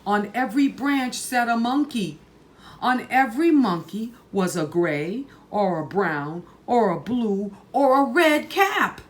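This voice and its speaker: A woman reading a children's story aloud in a deliberate, emphatic, dramatic way. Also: on the high side, animated. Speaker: storytime reader.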